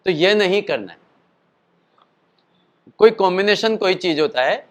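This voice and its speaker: A man's voice: sits high, expressive, unhurried, fairly bright tone. Tired-throated man teaching voice. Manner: explanatory, chatty, demonstrates calls and sung notes. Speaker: singing and voice teacher. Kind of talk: casual spoken voice lesson.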